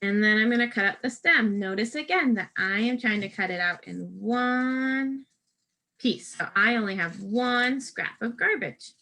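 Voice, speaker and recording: Female primary teacher, crisp bright tone, raised pitch, walking small children through a craft in plain, patient steps. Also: unhurried. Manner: instructional, simple patient phrasing, talking directly to children. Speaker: first grade teacher. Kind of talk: step-by-step craft lesson for young children.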